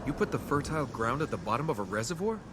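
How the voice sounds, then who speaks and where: Man playing a wisecracking young adventurer, lively delivery. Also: medium pitch, fast talker, noisy background, natural voice. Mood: wry. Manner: sarcastic, flippant banter. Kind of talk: video game voice acting.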